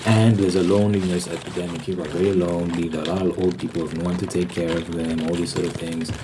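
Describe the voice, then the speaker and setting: A man's voice: deep voice, flat delivery, fast talker, background noise. A man giving a casual, rambling monologue as a vlogger, repeating phrases for emphasis. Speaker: ASMR vlogger.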